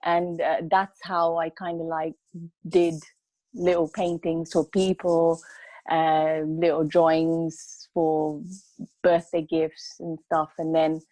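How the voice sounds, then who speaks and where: A woman with a lowish voice talks slowly and haltingly on a casual chat show, dotting her sentences with "uh" and "um". The recording is dry and close, with no room sound. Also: little pitch movement, no room sound, rough recording. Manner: hesitant, many fillers, slow and soft. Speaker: co-host and artist.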